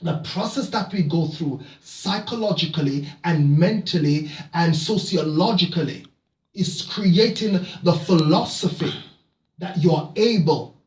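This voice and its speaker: A man from Jamaica, giving a reflective motivational talk to camera, halting with many fillers and restarts, then building into emphatic repeated phrases. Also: on the high side, recorded from a distance. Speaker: motivational speaker. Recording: echoey room, off-mic.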